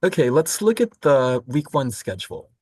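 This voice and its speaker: Male college instructor from Florida, mid-pitched, relaxed, explanatory, lots of ums, dry close mic. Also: moderate pace, slightly rough, dry recording. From Florida, United States. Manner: relaxed and explanatory, with frequent "um" and self-corrections. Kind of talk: recorded course overview lecture.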